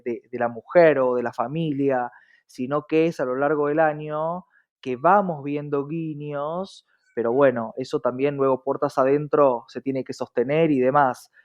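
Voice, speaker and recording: A man's voice: mid-range voice, steady tone, normal speed, a little mellow. A man with a Latin American Spanish accent explaining his views as an interview guest, often adding short check-in tags, on a muffled recording. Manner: frequent rhetorical check-in tags. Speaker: diversity consultant, interview guest. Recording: dull, lo-fi sound.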